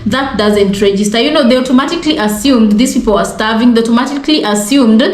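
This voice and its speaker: A woman from Africa co-hosts a reaction talk show, weighing each point in reflective, measured commentary. Her voice sits on the higher side. The room adds a noticeable echo. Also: darkish tone. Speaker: co-host.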